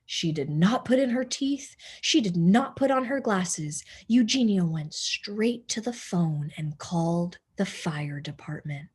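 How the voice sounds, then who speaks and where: A woman reading a children's story aloud as a teacher, deliberate and expressive for young listeners. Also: on the low side, slightly rough. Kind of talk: storybook read-aloud narration.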